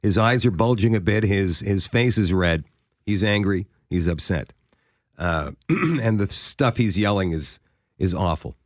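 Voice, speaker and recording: A man's voice: sits low, expressive, unhurried, dry recording, clean studio sound. A Canadian man hosting a casual talk show, setting up scenarios and quizzing his co-hosts. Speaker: host. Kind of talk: casual radio chat.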